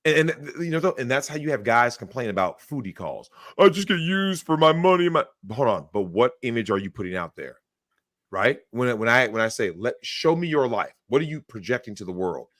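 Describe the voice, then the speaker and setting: A man's voice: medium pitch, sing-song, brisk, fairly bright tone, no room sound, studio quality. A man giving blunt opinions on a livestream, talking emphatically, swearing and acting out the people he quotes. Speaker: guest.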